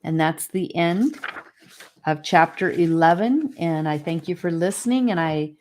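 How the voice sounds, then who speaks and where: A woman narrating a children's fantasy audiobook with deliberate, expressive phrasing. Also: on the low side, slight background noise. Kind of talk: scripted storybook narration.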